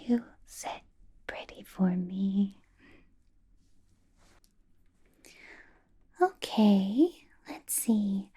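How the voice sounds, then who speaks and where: Slow, whispering vampire boss lady, acted by a woman. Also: mid-range voice. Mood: coaxing, businesslike. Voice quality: breathy soft whisper. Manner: slow, coaxing, hushed questioning with lingering hums. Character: female vampire boss, doting, quietly probing and possessive. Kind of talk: ASMR roleplay, personal attention.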